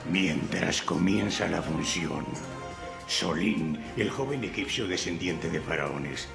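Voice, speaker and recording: Solemn radio-drama narrator, deep, gravelly, scripted storytelling, roomy sound. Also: male voice, slow, music in the background, heavily acted.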